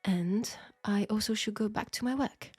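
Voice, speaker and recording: A woman's voice: medium pitch, normal speed, a little mellow, slightly rough, lightly acted. A woman with a French accent playing a polite, formal, self-assured seamstress in a steampunk audio roleplay drama. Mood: discreet, formal. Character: proud, outspoken, formal young seamstress in steampunk Paris.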